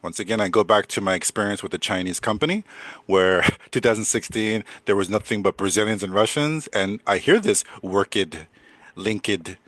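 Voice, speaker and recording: An older man with a deep voice teaching English in a chatty way, repeating words and laughing often. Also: normal speed, a bit bright, no room sound. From United States. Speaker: English coach. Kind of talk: casual teaching conversation.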